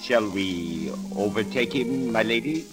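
A man's voice: fairly low pitch, animated, unhurried, over music. An actor playing a deferential coachman serving a noble lady in a 1930s radio drama.

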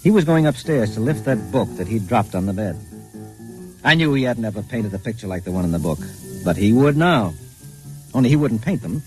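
An actor playing a wry, streetwise pal who narrates in a knowing, conversational way, in old-time radio style. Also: male voice, lowish voice, expressive, a little fast, darkish tone, soft background music, natural voice, rough recording. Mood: wry, confiding. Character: wry, streetwise narrator, the painter's scheming quick-witted pal.

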